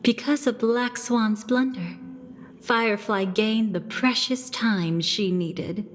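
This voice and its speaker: A woman voicing a poised, knowing female character who narrates a video game story in a measured storytelling manner. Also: mid-range voice, slight background noise, lightly acted. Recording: long reverb, music behind the voice. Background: game music.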